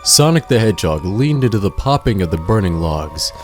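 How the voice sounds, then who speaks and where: A man with a fairly low voice narrates a scripted story. His delivery is measured and dramatic, in storybook fashion, with faint music underneath. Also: sing-song.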